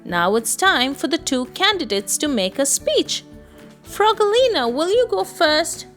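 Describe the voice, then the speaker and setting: A patient, gently instructing lady teacher from a storybook, put on by a woman reader. She speaks slowly and brightly, with kindly authority. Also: sits high, sing-song, soft background music, pitched above the performer's own voice, full character voice. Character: kindly, orderly female schoolteacher.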